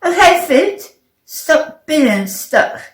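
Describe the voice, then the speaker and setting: A woman's voice: on the high side, huge pitch swings, slow, reverberant, pitched above the performer's own voice, full character voice. A woman voicing a bewildered, indignant young male footballer in a children's story, exclaiming incredulously. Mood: commanding.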